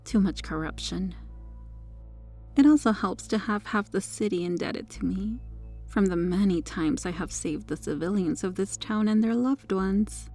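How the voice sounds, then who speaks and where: A woman's voice: medium pitch, a little slow, a little mellow, faint music behind. A woman playing a sweetly menacing, possessive superheroine kidnapper in an intimate ASMR roleplay, coy, smug and coaxing as she lingers over her words.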